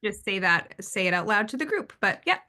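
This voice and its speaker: A woman co-hosting a webinar, chiming in quickly and helpfully in a casual way. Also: medium pitch, sing-song, pushed and bright. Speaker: director of operations, co-host. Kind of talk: casual chat.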